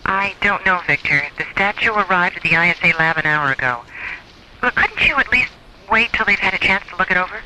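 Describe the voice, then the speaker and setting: A man's voice: high-pitched, animated, fairly quick, background noise. A man playing a cautious, concerned scientist in a science-fiction radio drama, earnest and a little hesitant. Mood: worried, cautioning. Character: concerned, cautious male scientist reporting grave findings.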